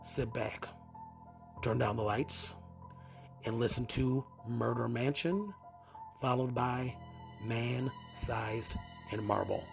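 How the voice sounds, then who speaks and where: A man's voice: medium pitch, slow, long pauses. A man with a scratchy voice from a cold, introducing a podcast as its host. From US East Coast. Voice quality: scratchy, gravelly. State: fighting a cold. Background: music.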